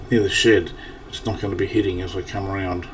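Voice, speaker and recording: A man's voice: low voice, level tone, a little fast. A man giving casual gameplay commentary, thinking aloud in a rambling way with a slightly scratchy early-morning voice. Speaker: gaming channel host. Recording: distant mic, faint background noise.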